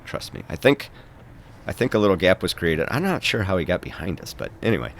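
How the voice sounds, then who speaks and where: A man's voice: low-pitched, sing-song, brisk, slight background noise. A man with a head cold, stuffed up, chatting casually and rambling a bit as he narrates a recap of his own bike race. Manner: chatty, rambling, self-deprecating.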